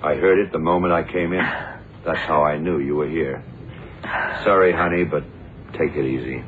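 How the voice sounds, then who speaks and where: A man's voice: a bass voice, fairly even intonation, moderate pace. A man playing a brusque, stoic, unsentimental blind detective in an old radio drama. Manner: curt, hard-boiled. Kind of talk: 1960s-70s radio drama acting. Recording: tinny, hissy old radio broadcast.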